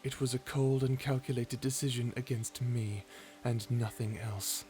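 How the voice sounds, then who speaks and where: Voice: medium pitch, steady tone, unhurried, a little mellow, slight background noise, pitched below the performer's own voice, natural voice. A performer voicing a cold, edgy male tsundere vampire in an ASMR roleplay, curt and dismissive, hiding fondness. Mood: defensive, dismissive. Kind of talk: ASMR roleplay character acting.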